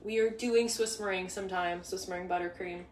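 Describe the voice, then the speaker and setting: A woman's voice: mid-range voice, fairly even intonation, quick pace, a little mellow. A young woman hosting a live bake-along, talking casually while she stirs a pot, with lots of restarts and side stories. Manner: chatty, rambling, with many restarts and asides. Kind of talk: casual live cooking demo. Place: home kitchen. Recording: echoey kitchen, mic far off, faint hum.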